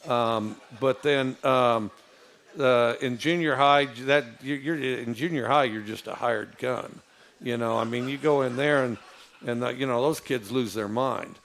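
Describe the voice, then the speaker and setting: A low-voiced man with a gravelly, creaky edge, telling stories in a relaxed, meandering way. He halts often on fillers and restarts his sentences as he goes. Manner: rambling, halting with many fillers and restarts. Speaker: football coach. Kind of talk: casual storytelling.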